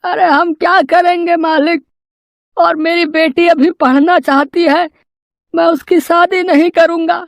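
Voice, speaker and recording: A woman's voice: very high voice, fairly even intonation, dry recording, pitched above the performer's own voice. A woman voicing an anxious poor farmer, a pleading father in a cartoon moral story. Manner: pleading, worried, drawn-out.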